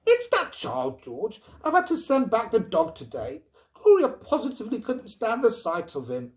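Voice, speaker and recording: A man's voice: very high voice, huge pitch swings, normal speed, pitched above the performer's own voice, exaggerated voice. A man voicing a stubborn, exasperated mother in a story, firm and emphatic. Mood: exasperated, grim. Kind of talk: storybook character reading.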